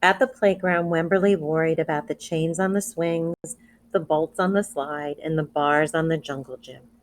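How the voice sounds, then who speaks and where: Woman, low-pitched, expressive storyteller for young listeners, pausing for commentary and questions. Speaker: educator reading a picture book aloud. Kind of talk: read-aloud storytelling with commentary for children.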